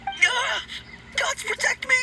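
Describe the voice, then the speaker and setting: A man's voice: very high voice, takes it slowly, gravelly, raised voice, full character voice. A man voicing a timid, polite young novice undertaker in an anime video game, stammering and pleading. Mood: terrified, pleading. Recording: noisy game stream capture.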